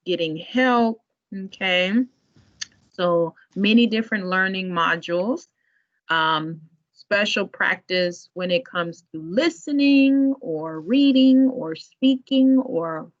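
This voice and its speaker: Slow-talking woman on a video call. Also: mid-range voice. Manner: relaxed, chatty, laughs often. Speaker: library staff presenter. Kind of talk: online tutorial demo. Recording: muffled online meeting audio.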